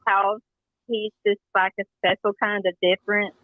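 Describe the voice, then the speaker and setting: A woman's voice: mid-range voice, little pitch movement, moderate pace, dry recording. A woman calling into a show, chatty and friendly, repeating her words, over a tinny phone line. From Kingsport, US East. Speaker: caller.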